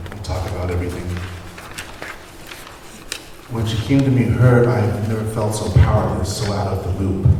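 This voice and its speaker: A man's voice: on the low side, dark tone, close to an everyday voice. Man acting a regretful husband, very echoey room. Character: devoted, regretful husband confessing to his wife in counseling. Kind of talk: table read of a screenplay. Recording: distant echoey room, faint noise.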